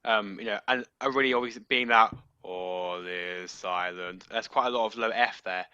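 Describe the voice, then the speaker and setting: A man giving practical, encouraging advice to bass singers in a choir rehearsal. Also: normal speed, pushed and bright, clear, resonant tone, no echo, highs cut off. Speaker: bass section coach.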